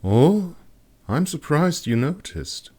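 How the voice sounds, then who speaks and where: A man's voice: a little high, sing-song, takes it slowly, a little mellow, pitched above the performer's own voice, exaggerated voice. A man voicing a refined, playful female astrologer in her forties with a poised manner in an audiobook. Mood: mildly surprised.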